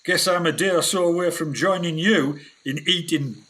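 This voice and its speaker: Cynical expedition scientist , played by a man: highish, bright, forceful voice, grumbling and quick to anger, adventure radio play. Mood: grumbling, sardonic. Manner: gruff complaining, sardonic, mild swearing. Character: grumbling, cynical, hot-headed research scientist on jungle expedition.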